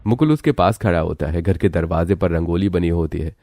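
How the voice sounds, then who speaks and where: A man's voice: sits low, brisk, warm tone, no room sound, clean studio sound. A man narrating a scripted cartoon story as its storyteller.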